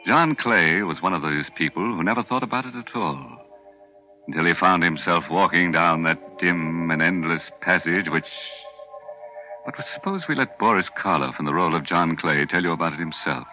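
A man's voice: low voice, normal speed. A man hosting a 1940s radio horror show, with sardonic, macabre humor. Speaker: host. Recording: dull old radio broadcast with music.

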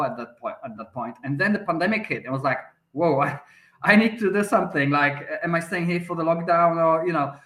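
A man's voice: on the high side, a bit bright. A man in his thirties with a Polish accent, a podcast guest answering at length with frequent restarts and fillers. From Poland. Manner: hesitant, many restarts and fillers. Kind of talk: conversational interview answer. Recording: roomy echoey sound.

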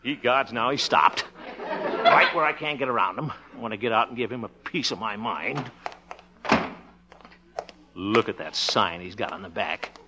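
A man's voice: lowish voice, moderate pace, long pauses, natural voice. An actor playing a blustery, sarcastic small-town man in a 1950s radio sitcom, theatrical and easily exasperated. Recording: noisy, muffled 1950s radio recording.

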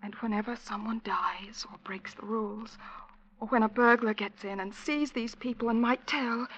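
A woman acting a timid, unhappy young servant in a 1940s radio drama, breathy and faltering. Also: on the high side, normal speed, a bit bright. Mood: fearful. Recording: muffled, noisy old radio recording.

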